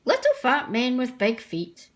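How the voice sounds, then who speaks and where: A woman's voice: sits high, huge pitch swings, measured pace, exaggerated voice. A woman voicing a mischievous male steam engine in a storybook, telling spooky tales in a teasing way.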